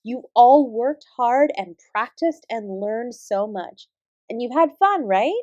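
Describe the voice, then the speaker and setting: A woman's voice: a little high, animated, slow, warm tone, dry recording, muffled recording. A woman acting in a roleplay audio drama as an apsara who works as an instructive, composed dance teacher. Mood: reassuring. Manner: encouraging, instructive, addressing a class. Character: female apsara dance teacher, warm, encouraging, defensively composed.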